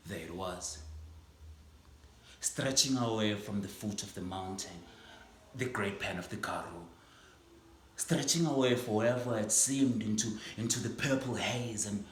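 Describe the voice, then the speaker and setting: Male actor as an old schoolteacher, somber theatrical monologue; deep voice, faint hiss, echoey room. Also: a little slow, long pauses. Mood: wistful. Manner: ranging from hushed reflection to impassioned outbursts. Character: aging male schoolteacher, proud and grieving. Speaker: stage actor performing a monologue.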